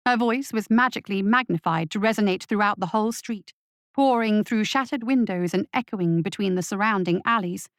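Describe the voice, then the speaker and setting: A woman's voice: medium pitch, fairly quick, no room sound. A woman reading scripted fiction as an audiobook narrator, in a dramatic storytelling style.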